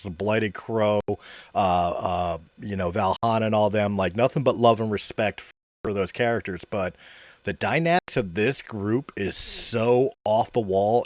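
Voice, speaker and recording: A man's voice: fairly low pitch, dry recording. A man hosting a casual podcast chat. He rambles, repeats himself and laughs often. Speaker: podcast host.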